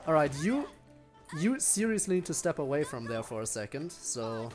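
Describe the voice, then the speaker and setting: A man's voice: a little high, sing-song, moderate pace, music in the background. A man streaming a video game with casual commentary and wry, muttered asides to himself. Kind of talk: casual live gameplay commentary.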